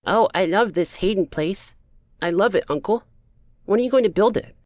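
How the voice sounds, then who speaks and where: A man's voice: sits high, normal speed, no echo, clean studio sound, pitched above the performer's own voice, lightly acted. A man voicing a sweet, eager, affectionate little boy with a childlike manner, as in an audiobook. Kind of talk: audiobook character dialogue.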